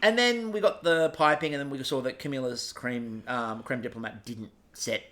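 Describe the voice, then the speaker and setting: A man's voice: mid-range voice, normal speed, a bit bright, a little hiss or hum. A man co-hosting a comic recap podcast, chatting in a dry, teasing way. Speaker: podcast co-host.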